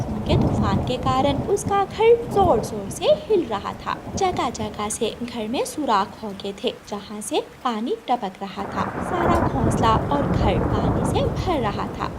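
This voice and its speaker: A woman's voice: on the high side, heavy background noise. A woman narrating a scripted children's cartoon story.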